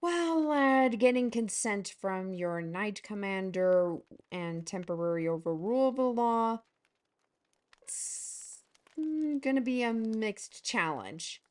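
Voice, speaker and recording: A woman's voice: mid-range voice, lively intonation, slow pace. A woman playing a bold, teasing artisan steward with a playful, persuasive manner in a fantasy audio drama.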